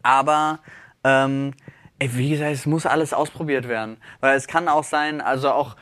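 A man's voice: mid-range voice, fairly even intonation, moderate pace, a bit bright, no room sound. A youngish to middle-aged man chatting casually as a podcast co-host, thinking aloud in loose, colloquial digressions. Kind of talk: casual chat between friends. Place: dry, close-miked recording.

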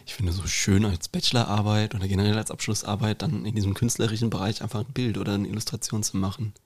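A man's voice: on the low side, flat delivery, fast. A young man co-hosting a podcast in casual chat, often stammering and repeating his words. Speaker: podcast co-host.

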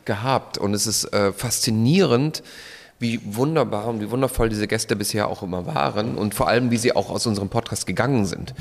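Male host, low and gravelly, rambling live-stage chat, long reverb. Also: a little fast. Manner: rambling, with many hesitations and laughs. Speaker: podcast host. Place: large live venue hall.

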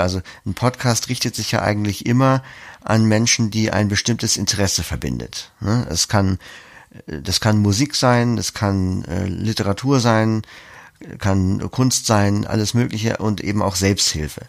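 A man's voice: on the low side, normal speed, creaky, no echo, clean studio sound. A man being interviewed as a podcast producer, explaining things conversationally, step by step with concrete examples.

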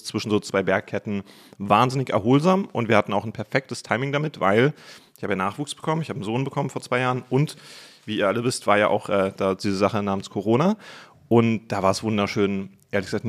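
A man's voice: fairly low pitch, fast. A man from Berlin, in his thirties, a guest chatting casually and talkatively on a video podcast, laughing easily. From Berlin, Germany. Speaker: guest, cooking YouTuber. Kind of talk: casual podcast chat over food. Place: indoor studio, video podcast.

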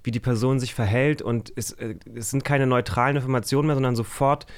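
A man's voice: mid-range voice, steady tone, fairly quick, clean tone. A man chatting casually as a podcast guest, hesitant and thinking aloud as he goes. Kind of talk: casual chat.

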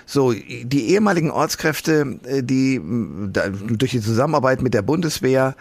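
A man's voice: mid-range voice, lively intonation, moderate pace, creaky, darkish tone, husky. A man hosting a radio talk show, interviewing his guest in a conversational way with follow-up questions. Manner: conversational, asks follow-ups.

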